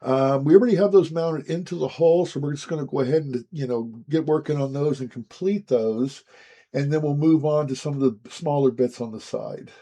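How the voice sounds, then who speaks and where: A man with a hoarse, raspy voice as he gets his voice back, hosting a casual, chatty walkthrough of building a scale model. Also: on the high side. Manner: relaxed, chatty, frequent "guys" and "um". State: hoarse, recovering from lost voice.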